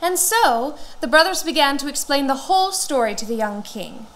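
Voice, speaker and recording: A woman narrating a fairy tale as an expressive storyteller. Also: high-pitched, normal speed.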